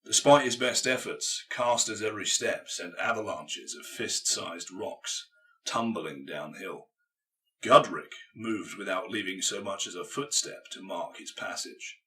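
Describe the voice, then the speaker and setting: A man reading dramatic scripted fiction as an audiobook narrator, with measured, grave, emphatic phrasing. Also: on the low side, bright, forceful tone, distant mic, low-quality recording.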